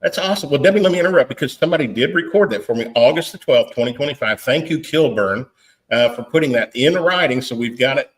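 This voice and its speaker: A man's voice: medium pitch, animated, quick pace, poor audio. A man hosting a podcast interview, friendly and chatty, encouraging his guest. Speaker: podcast host.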